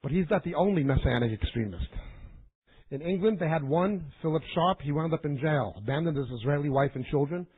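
This is a man preaching as a Bible teacher, with a high-pitched and husky voice. He is emphatic and didactic, hammering points home by repeating them. The recording is thin and narrow, like a telephone line. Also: expressive, brisk. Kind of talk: sermon-like teaching lecture to a live audience. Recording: narrow, phone-like band.

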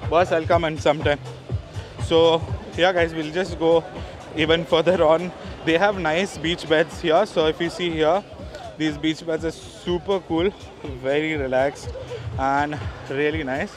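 A man vlogging a walking tour of a beach, chatting casually to his viewers with plenty of fillers. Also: on the high side. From India. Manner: chatty, addresses viewers as guys, many fillers. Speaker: travel vlogger. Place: outdoors on a busy beach. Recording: outdoor noise behind the voice.

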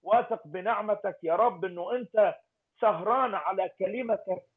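A man's voice: high voice, moderate pace. A man leading a fervent intercessory prayer, pleading and naming people one by one. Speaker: prayer leader. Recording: tinny phone-like line.

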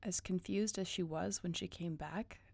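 Low female voice acted, creaky, dry close sound, curt, businesslike henchwoman, audio drama. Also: moderate pace, no room sound. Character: cool dutiful female enforcer of a werewolf alpha. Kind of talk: audio roleplay drama acting.

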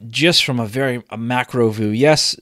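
A man hosting his own podcast, hoarse from a cold, talking casually with frequent restarts and throat clearing. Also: mid-range voice, normal speed, fairly bright tone, no room sound, clean studio sound. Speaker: podcast host. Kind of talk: casual solo podcast talk.

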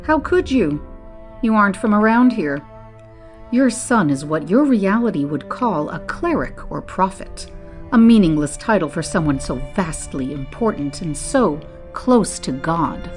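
A cold, imperious woman overseer in an audio drama. Her lowish voice swings widely as she delivers haughty threats. Faint music sits behind her. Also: very animated. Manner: haughty, menacing, deliberate.

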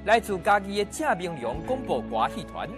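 A woman's voice: mid-range voice, big pitch swings, moderate pace. A woman with a Taiwanese accent reads scripted narration for a news feature as a reporter. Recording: loud music behind the voice. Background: loud music.

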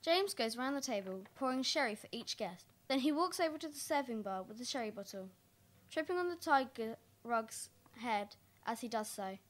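A woman's voice: on the high side, expressive, moderate pace. A young woman reading scripted stage narration for a radio play. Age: young adult.

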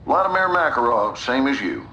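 An actor playing a slick, smug, disgraced army general making his pitch in a video game cinematic. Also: sing-song, moderate pace, bright, forceful tone, a little hiss or hum. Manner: smug, folksy salesmanship. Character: slick, scheming disgraced general, smugly self-assured. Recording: roomy echo, music behind.

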